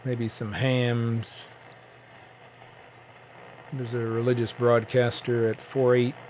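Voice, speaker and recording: Halting, casual man with noise behind him. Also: mid-range voice, level tone, darkish tone, low-quality recording. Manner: halting, thinking aloud. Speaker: shortwave radio hobbyist presenting a bandscan. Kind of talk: casual unscripted demonstration commentary.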